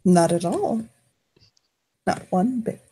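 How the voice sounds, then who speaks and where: A woman's voice: mid-range voice, very animated, dark tone, a little hiss or hum. A woman playing a cat character in a tabletop roleplaying game, laughing often and dropping into whispers. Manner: giggly, quick asides, drops into whispers. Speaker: player.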